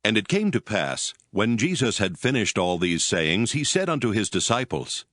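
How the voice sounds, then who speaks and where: A man narrating scripture from a script in a measured, formal storytelling manner. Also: lowish voice, big pitch swings, fairly bright tone, no room sound, studio quality. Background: prominent music.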